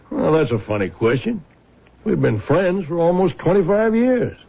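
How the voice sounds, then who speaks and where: A man's voice: on the high side, very animated, unhurried, gravelly, husky, exaggerated voice. An actor playing a blunt, folksy small-town lawman in an old radio drama. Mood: folksy, friendly. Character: gruff, folksy small-town lawman, older family man. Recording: tinny, narrow old radio broadcast.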